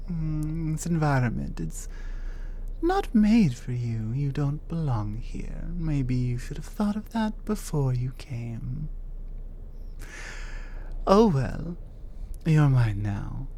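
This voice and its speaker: A woman's voice: extremely low pitch, warm tone, a little hiss or hum, voice pushed down, heavily acted. A woman voicing a territorial, menacing female troll who taunts a captive in an ASMR roleplay. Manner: contemptuous, possessive, taunting.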